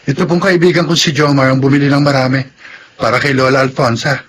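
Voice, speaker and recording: A man's voice: mid-range voice, fairly even intonation, fast talker, fairly bright tone, slight background noise, close to an everyday voice. An actor playing a polite, helpful, good-natured young man in a Filipino radio drama. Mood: respectful.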